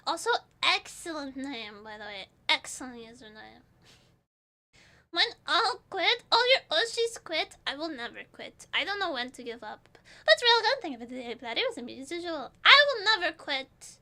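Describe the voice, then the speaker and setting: A woman from Portugal, chatting with her stream audience in a loose, rambling way and breaking into giggles often. Her voice sits high and swoops up and down a great deal. It has a bright, sharp edge to it. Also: big pitch swings, moderate pace. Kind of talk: casual chat reading live chat.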